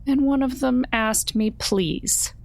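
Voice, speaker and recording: A woman playing a tabletop roleplaying game, speaking in character in a halting, improvised way as she searches for words. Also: high voice, creaky.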